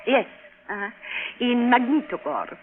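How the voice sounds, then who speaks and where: An actress playing a shy, nervous young rocket pilot from Russia, speaking haltingly in a 1950s radio drama. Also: female voice, a little high, sing-song. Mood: shy, hesitant. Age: 20s. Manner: hesitant, halting. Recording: noisy, narrow-band 1950s radio recording.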